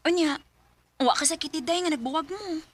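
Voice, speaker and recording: A woman's voice: high voice, moderate pace, natural voice. A woman playing a chatty, curious teenage friend in a radio drama, who asks questions in a teasing way.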